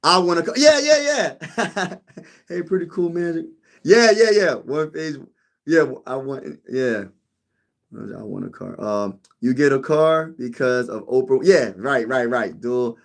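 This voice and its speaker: A man's voice: mid-range voice, animated, slow pace, creaky. A man hosting a sports channel in a casual live-stream chat, rambling, repeating himself and calling listeners "bro.". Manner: rambling, repeats phrases, says "bro" a lot. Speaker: YouTube sports channel host.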